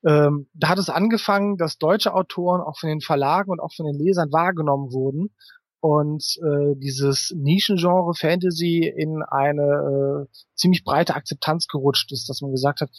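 A man's voice: fairly high pitch, fairly even intonation, normal speed, no room sound, clean studio sound. A man giving casual interview answers as an author, thoughtful and explanatory, feeling his way through his phrasing.

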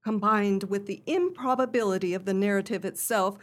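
A woman's voice: medium pitch, fairly quick, close to an everyday voice. A woman playing a wronged countess in a radio play, speaking with formal, earnest dignity.

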